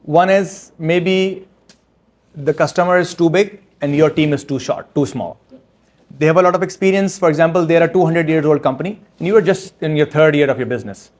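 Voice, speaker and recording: A man speaking as an author at a book launch, answering audience questions in a halting, step-by-step way with frequent restarts. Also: on the high side, darkish tone. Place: event room with audience.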